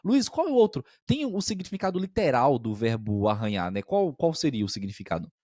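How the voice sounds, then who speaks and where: A man of average pitch explains expressions to learners, patient and methodical, often pausing to check that they follow. His voice sits close to the mic in a dry, dead room with no echo. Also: very animated, a little mellow. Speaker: podcast co-host and language teacher.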